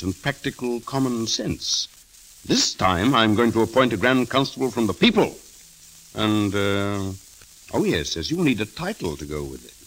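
An actor playing a sly, imperious old king in a 1930s radio drama, speaking theatrically with drawn-out mockery on a hissy, crackly recording. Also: mid-range voice. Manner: theatrical, deliberate, drawn-out and mocking.

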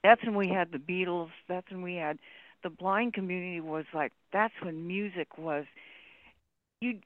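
High-voiced older man on a tinny line. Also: moderate pace, no echo. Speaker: discussion participant. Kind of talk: casual reminiscing chat. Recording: tinny dry phone-line audio.

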